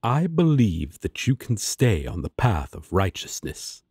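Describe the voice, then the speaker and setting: A man's voice: on the low side, sing-song, a little slow, darkish tone, breathy edge, no room sound, studio quality, full character voice. A man playing an earnest, righteous, devoted guardian angel in an audio roleplay, speaking formally and solemnly.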